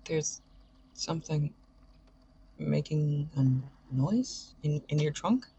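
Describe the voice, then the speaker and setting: A man playing a shy, tender young man who stammers haltingly through audio drama dialogue in a very husky, creaky voice. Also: on the high side, animated, dark tone, highs cut off, heavily acted. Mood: puzzled.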